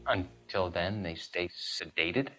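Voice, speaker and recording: A man's voice: deep voice, takes it slowly, poor audio. An actor playing an earnest, polite young medical student who questions his superiors, in a dramatic video game cutscene. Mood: troubled, deferential. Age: young adult. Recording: music behind the voice.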